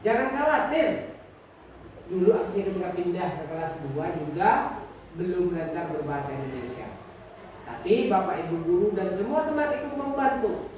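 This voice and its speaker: A man's voice: high voice, expressive, moderate pace, a little mellow. Man reading as a kindly woman teacher, distant and echoey. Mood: reassuring. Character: kindly, reassuring female schoolteacher from a children's story. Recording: distant, echoey, noisy room recording.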